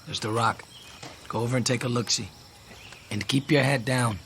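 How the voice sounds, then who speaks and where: An American actor playing a wry, sceptical young infantry soldier in a radio drama, with a colloquial way of talking. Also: male voice, medium pitch, steady tone, normal speed, noisy background, lightly acted. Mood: sceptical banter.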